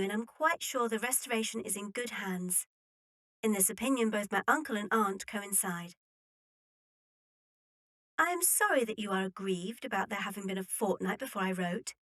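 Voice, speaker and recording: A woman's voice: mid-range voice, a bit bright, close to an everyday voice. A woman playing a poised, decided young Victorian heiress reading a letter in an audio drama.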